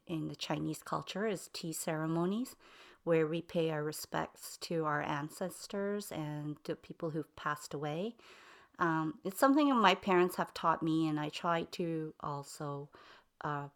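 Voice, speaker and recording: A woman's voice: deep voice, measured pace. A middle-aged mother speaking earnestly in an interview, explaining things with hesitant ums and uhs. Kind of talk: casual interview talk.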